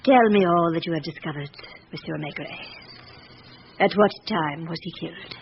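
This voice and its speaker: A woman's voice: lowish voice, vocal fry. Poised elderly aristocratic lady. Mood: gracious, frank. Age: older. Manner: poised, formal, deliberate. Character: composed, dignified elderly aristocratic princess, frank and gracious. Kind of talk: radio drama acting. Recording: dull, noisy old radio broadcast recording.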